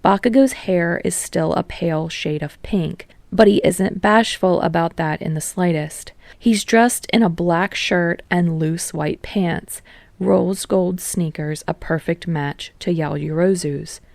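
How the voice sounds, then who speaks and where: A woman narrates fiction in an expressive audiobook style. Her voice is on the low side with noticeable fry. The recording is dry, with no room sound. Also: slow, no echo.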